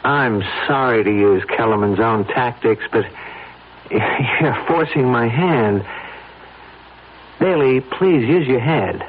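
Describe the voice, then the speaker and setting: A man's voice: mid-range voice, slow pace, natural voice. An actor playing a coolly coercive man in a radio drama, pointed and insistent as he persuades. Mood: persuasive, insistent. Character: coolly coercive man pressing a reluctant friend. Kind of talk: old-time radio drama acting. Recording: noisy, tinny old radio broadcast.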